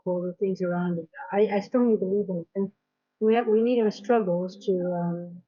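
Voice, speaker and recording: Woman, light Dutch accent, mellow voice, muffled lo-fi audio, rambling casual stream chat. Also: mid-range voice, steady tone, normal speed. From Netherlands. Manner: rambling, frank, many fillers and restarts. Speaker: trading coach streaming live. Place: home in South Africa.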